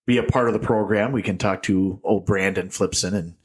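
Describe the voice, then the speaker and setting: A man's voice: on the low side, steady tone, a little fast, breathy edge. A man co-hosting a casual beer podcast, rambling with jokey tangents and frequent laughs, recorded in a small echoey room with a tinny sound. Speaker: podcast co-host. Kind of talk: casual chat.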